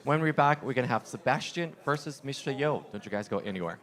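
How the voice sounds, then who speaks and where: A man's voice: medium pitch, animated, quick pace, fairly bright tone. A man hosting a tournament stream, sending it to a break with an upbeat broadcast delivery. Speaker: host.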